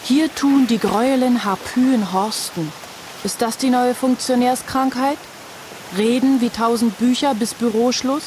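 A woman's voice: fairly high pitch, background noise. An actress playing a headstrong, outspoken woman construction worker in a radio drama. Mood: grave, declaiming.

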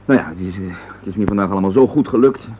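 An actor playing an easygoing, soothing husband in a Dutch radio play, speaking hesitantly. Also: male voice, fairly low pitch, natural voice. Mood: teasing, cheerful. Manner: hesitant, halting, chuckling between phrases. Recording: tinny, noisy old radio recording.

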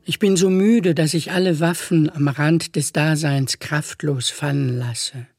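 A woman reciting poetry with measured, lyrical phrasing. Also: low voice, darkish tone, no echo. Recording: music bed behind voice. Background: music.

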